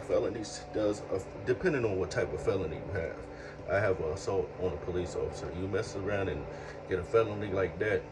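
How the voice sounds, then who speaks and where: A man in his late thirties with a very low voice talks earnestly in a reflective monologue, often repeating himself as he works through a thought. He sounds set back from the microphone, and a steady hum of air conditioning and passing vehicles sits clearly behind him. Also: recorded from a distance. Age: 30s. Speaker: speaker sharing life lessons.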